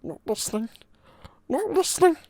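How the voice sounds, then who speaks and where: A man voicing a wretched, split-minded cave creature with a raspy, hissing, creaky voice, by turns wheedling and spiteful. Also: very high voice, very animated, darkish tone, dry recording, exaggerated voice. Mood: frightened, pleading. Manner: slow muttering, swinging between whimper and shriek.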